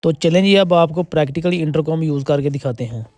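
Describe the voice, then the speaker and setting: Mellow-voiced man explaining, slightly high pitch. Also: quick pace, dry recording. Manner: explanatory, step-by-step, polite conversational address. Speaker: electrician instructor. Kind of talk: instructional tutorial narration.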